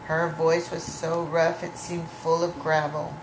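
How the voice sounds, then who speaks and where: A woman reads a storybook aloud to children in a slightly low voice. Her phrasing is slow and dramatic, and the room is echoey with clear background noise. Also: little pitch movement.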